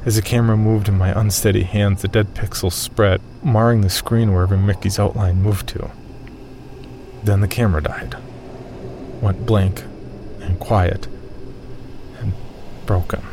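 Male horror narrator, lowish voice, measured and tense, some background noise. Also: little pitch movement. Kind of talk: scripted first-person horror narration.

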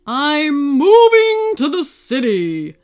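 A woman voicing an excitable, theatrical old male wizard in a children's story, friendly and grand, with drawn-out exclamations. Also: fairly high pitch, faint music behind. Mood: excited, alarmed.

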